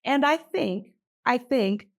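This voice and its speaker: A woman's voice: sits high, expressive, slow, vocal fry. Chatty woman pastor losing her voice. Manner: chatty, laughs easily. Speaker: pastor and podcast co-host. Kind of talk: casual podcast chat.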